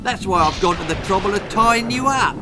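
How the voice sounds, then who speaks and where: A man's voice: sits high, animated, fairly quick, background noise, pitched above the performer's own voice. Man voicing a sneering, cocky schoolboy bully. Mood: taunting. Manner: mock-friendly, sneering, playing it cool. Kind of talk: children's horror-comedy storybook reading. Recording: music behind.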